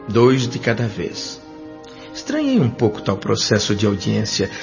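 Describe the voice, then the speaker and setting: A man's voice: mid-range voice, lightly acted. An actor with a Brazilian accent playing an earnest, humble male doctor in an audio drama, speaking formally and politely. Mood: calm narrating. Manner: formal, polite, measured diction. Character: André, earnest humble male doctor spirit, newly arrived. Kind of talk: audio drama narration and dialogue. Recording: dull lo-fi audio with music bed.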